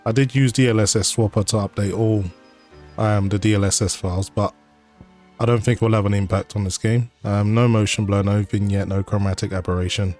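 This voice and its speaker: A man hosts his gaming channel in relaxed, conversational commentary, with a few fillers as he walks through his settings. His voice sits a little low, and faint game music plays underneath. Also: fairly quick. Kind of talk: casual gameplay commentary.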